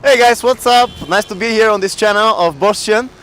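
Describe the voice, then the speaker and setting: A man in his thirties from Bulgaria telling his work story in a casual outdoor interview, animated and laughing often, into a handheld mic. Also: high-pitched, brisk, slight background noise, rough recording. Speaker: guest entrepreneur. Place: outdoor city square in winter. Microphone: handheld mic shared, camera close.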